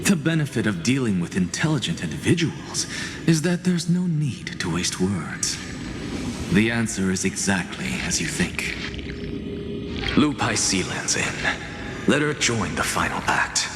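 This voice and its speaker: An actor playing a flamboyant, smug genius alchemist in a video game, theatrical and self-assured, savouring each word. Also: male voice, fairly low pitch, very animated, a little slow. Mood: smug confidence. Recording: echoing hall reverb under music.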